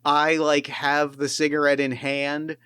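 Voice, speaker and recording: A man with a smooth, resonant voice runs a tabletop game and chats through it casually. He talks loosely, with plenty of fillers and restarts. The sound is dry and close, with no room around it. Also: fairly high pitch, completely flat delivery, a little slow, bright, forceful tone, no echo. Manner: rambling, many fillers and restarts. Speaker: game master. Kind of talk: casual tabletop play and narration.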